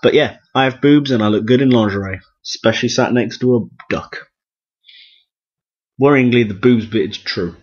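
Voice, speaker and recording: A man with a hoarse, sore-throat voice, hosting a podcast in a chatty, enthusiastic, self-deprecating way. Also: mid-range voice, normal speed, darkish tone. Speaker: podcast host. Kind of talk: chatty solo podcast intro and interview. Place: room at home. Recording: dull, lo-fi home podcast recording.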